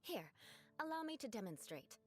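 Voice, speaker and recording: A woman's voice: on the high side, very animated, normal speed, a bit bright. A woman voicing an aloof, vengeful young noblewoman in a video game, speaking in a formal, composed and precise manner. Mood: formal, instructive. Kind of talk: video game character dialogue. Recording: faint game music behind the voice.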